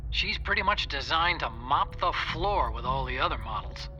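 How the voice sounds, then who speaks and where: A man's voice: on the high side, animated, normal speed, pushed and bright, dull audio. A man playing an earnest, nerdy tech partner in a video game, fussy and easily exasperated. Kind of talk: dramatic video game voice acting. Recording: music playing behind the voice.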